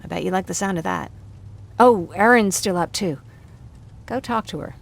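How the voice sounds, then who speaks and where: An actress playing a warm, joking young survivor in a video game, chatty and quick to apologise for herself. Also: female voice, fairly low pitch, gravelly. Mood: relieved, teasing. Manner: chatty, self-deprecating, apologetic. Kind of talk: video game dialogue acting.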